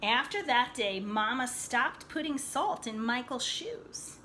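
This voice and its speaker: A woman reading a children's picture book aloud in an animated, dramatic way, pausing to explain things to young listeners like a teacher. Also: a little high, a little hiss or hum. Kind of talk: expressive read-aloud storytelling with asides.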